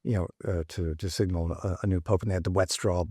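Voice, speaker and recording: Thoughtful low-voiced man, halting. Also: fairly even intonation, warm tone, breathy edge, dry recording, studio quality. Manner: thoughtful, halting, many restarts. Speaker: podcast panelist. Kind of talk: casual discussion. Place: studio in Rome.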